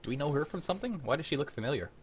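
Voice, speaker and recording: A man's voice: fairly high pitch, big pitch swings, very fast. A young man hosting a casual group chat on a livestream. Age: young adult. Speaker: livestream host. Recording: noisy background.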